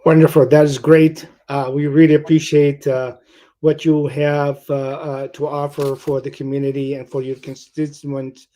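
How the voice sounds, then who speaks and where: A man moderating a candidate forum, speaking haltingly with many "uh" and "um" fillers. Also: fairly high pitch, little pitch movement, warm tone.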